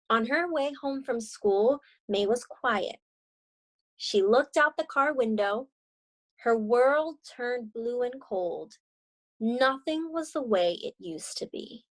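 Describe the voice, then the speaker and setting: A woman's voice: on the high side, expressive, slow. A young woman teaching and reading aloud to children over a video call. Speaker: teacher and story reader. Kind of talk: children's read-aloud and lesson. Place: indoor room on a video call.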